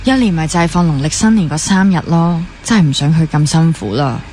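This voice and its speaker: A woman's voice: deep voice, animated, fairly quick, warm tone, faint music behind. A young woman with a Hong Kong Cantonese accent playing a weary, driven trainee doctor and teasing younger sister in a naturalistic radio drama. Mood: weary determined. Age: young adult.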